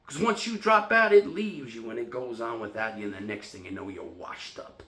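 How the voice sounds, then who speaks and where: A man's voice: mid-range voice, expressive, moderate pace, sharp tone. A man performing a stage monologue as an anxious, wistful young American ex-sailor afraid of losing his youth. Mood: agitated. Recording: roomy, echoing solo room recording.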